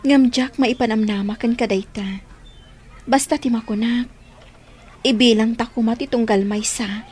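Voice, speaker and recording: Woman acting a high-voiced kind young Ilocano student. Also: fairly even intonation, moderate pace, darkish tone, husky. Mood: tender, hesitant. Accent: Ilocano Filipino. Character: kind young Filipino student rejecting friend's love. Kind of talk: audio drama dialogue. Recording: faint ambient background noise.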